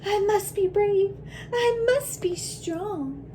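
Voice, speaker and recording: A woman voicing a brave, imaginative little schoolgirl in a picture book, with dramatic, emphatic, drawn-out delivery. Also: extremely high pitch, lively intonation, dark tone, slight background noise, voice pushed up, full character voice. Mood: bold, dramatic.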